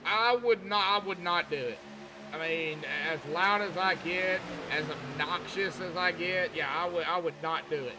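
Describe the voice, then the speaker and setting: High-pitched Southern US male race commentator. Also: normal speed, background noise. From Arkansas, southern United States. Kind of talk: live sim racing commentary, folksy and conversational.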